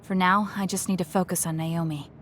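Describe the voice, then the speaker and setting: A woman's voice: fairly low pitch, fairly even intonation, brisk, fairly bright tone, slight background noise, natural voice. An actress playing a cool, guarded, logical young woman soldier in a video game, speaking in a reserved, blunt, matter-of-fact way. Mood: guarded, brooding. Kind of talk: English video game voice acting.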